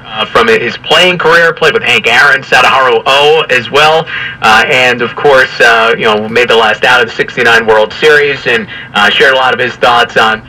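A man reminiscing about sports on radio in a halting, hesitant way. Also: mid-range voice, pushed and bright, poor audio.

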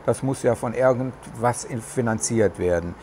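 A middle-aged man talks with a regional German dialect in a loose, rambling way, stopping often to search for words. He is recorded outdoors on a terrace through a lapel mic, with faint outdoor ambience behind him. His voice sits at an ordinary middle pitch. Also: normal speed, mellow, slight background noise. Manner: rambling, many hesitations, chatty. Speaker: vlogger. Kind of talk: casual talk to camera. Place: covered terrace outdoors in the tropics.

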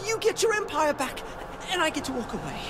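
A man's voice: extremely high pitch, wildly swooping pitch, brisk, over loud music, exaggerated voice. An actor playing a jittery young male hacker who stammers anxiously. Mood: pleading.

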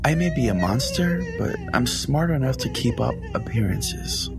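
A man's voice: medium pitch, wildly swooping pitch, moderate pace. A man hosting a comedy podcast, telling it in a theatrical, mock-solemn way. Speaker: podcast host. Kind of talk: comic dramatic storytelling and show chat. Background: music.

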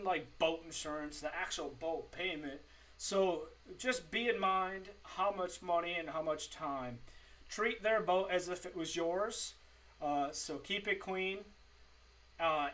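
Bright-voiced man, slightly distant mic. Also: high-pitched, measured pace. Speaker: fishing tips presenter. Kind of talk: informal tutorial talk to camera. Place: small home streaming room, indoors.

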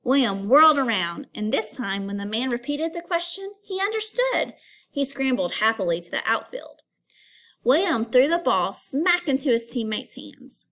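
Higher-pitched woman teacher reading to children. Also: moderate pace. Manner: expressive storytelling, instructive, addresses pupils with questions. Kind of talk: read-aloud lesson for children.

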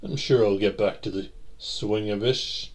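Man acting expressive, easygoing guy in audio roleplay. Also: fairly low pitch, a little slow, lightly acted. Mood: easygoing, musing.

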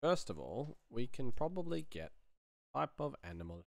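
A man's voice: mid-range voice, very animated, moderate pace, vocal fry, a little mellow, no room sound. A man in his thirties giving a casual demonstration as a sound designer, thinking aloud at a close mic. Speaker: sound designer, tutorial host.

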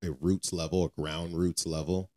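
A man's voice: sits low, level tone, normal speed, dark tone. A man co-hosting a faith podcast, talking casually in an earnest, exhorting way and repeating phrases for emphasis. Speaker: podcast co-host.

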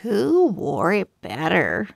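A woman reading a children's storybook aloud as a read-aloud host, in an expressive, deliberate way. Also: fairly low pitch, gravelly, dry recording, clean studio sound. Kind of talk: slow scripted storybook read-aloud for young readers.

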